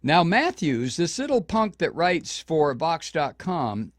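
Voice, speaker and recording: A man's voice: on the high side, big pitch swings, slow pace, no room sound, studio quality. An older man hosting a political talk show, delivering indignant commentary with frequent restarts and stumbles. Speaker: radio talk show host.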